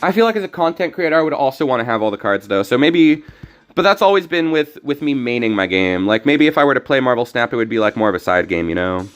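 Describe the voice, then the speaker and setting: A young man streaming a card game, talking casually and rambling with many restarts, his voice slightly hoarse. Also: mid-range voice, sing-song, fast talker. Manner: rambling, self-correcting. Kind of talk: casual gameplay commentary.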